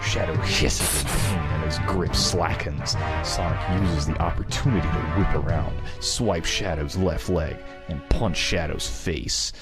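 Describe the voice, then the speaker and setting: Very deep male story narrator. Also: a little slow, hardly any pauses. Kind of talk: dramatic scripted fan fiction narration. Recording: loud music behind the voice.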